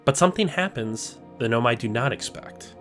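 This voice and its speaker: A man lays out a story piece by piece in scripted video essay narration. His pitch moves around a lot, keeping the telling lively. Soft music plays faintly underneath. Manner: measured, explanatory storytelling.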